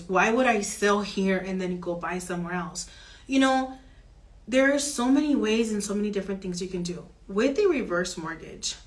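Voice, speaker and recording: A woman mortgage broker explaining home financing options in an earnest, steady advisory chat. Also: medium pitch.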